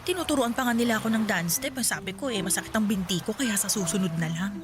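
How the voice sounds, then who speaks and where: A woman's voice: mid-range voice, quick pace, lightly acted. An actress with a Filipino accent playing a chatty, liberated older girlfriend in a radio drama. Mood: chatty, bored. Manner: chatty, complaining, colloquial. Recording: clear background noise.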